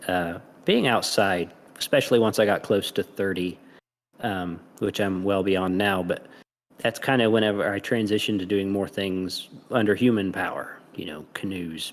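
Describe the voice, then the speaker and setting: A middle-aged American man with a slight Southern accent, chatting casually. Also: low-pitched. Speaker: gamer on a podcast. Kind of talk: casual chat.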